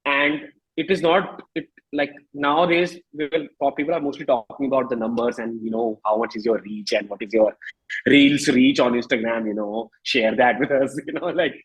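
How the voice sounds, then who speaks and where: A man with an Indian accent talking candidly as an interview guest, emphatic but halting, often repeating phrases, in a husky voice. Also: medium pitch, fairly even intonation, low-quality recording. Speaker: musician guest.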